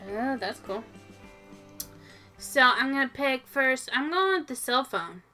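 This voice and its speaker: A woman's voice: high voice, animated, takes it slowly, sharp tone, soft background music. A woman co-hosting a toy show in casual, informal chat, thinking aloud as she goes.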